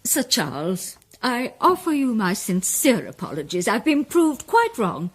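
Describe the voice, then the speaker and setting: An earnest lady of a detective club in a radio mystery. The voice is mid-pitched with a husky edge and lively intonation. The speech is formal and a little hesitant. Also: measured pace, exaggerated voice. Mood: earnest, contrite. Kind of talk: British radio drama acting.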